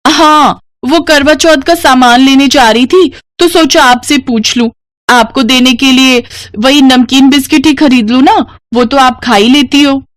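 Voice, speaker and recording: A woman voicing a jealous, catty sister-in-law with a sharp, nosy, falsely polite manner. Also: high-pitched, a little slow, dry recording, clean studio sound, pitched above the performer's own voice. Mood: fake-polite.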